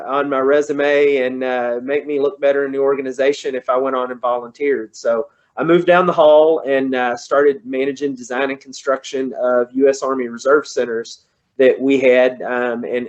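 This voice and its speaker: Kentucky-accented presenter, 40s, male, easygoing, mid-pitched, slightly muffled call audio. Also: little pitch movement, normal speed. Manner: folksy, conversational, says y'all. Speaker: guest presenter, engineer. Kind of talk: online presentation. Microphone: computer on a video call.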